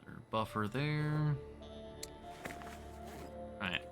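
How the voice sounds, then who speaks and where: A man's voice: mid-range voice, sing-song, slow pace, creaky, over music. A man livestreaming a survival game with casual commentary, thinking aloud and chatting to viewers. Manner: thinks aloud, talks to chat.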